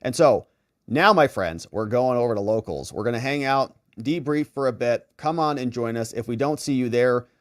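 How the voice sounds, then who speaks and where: A man hosting a live commentary stream, reading out viewer messages and thanking supporters in a chummy, effusive way with theatrical, drawn-out emphasis. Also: mid-range voice, no room sound, studio quality. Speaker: host of a live political and legal commentary stream. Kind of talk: casual livestream sign-off, reading viewer messages and shout-outs.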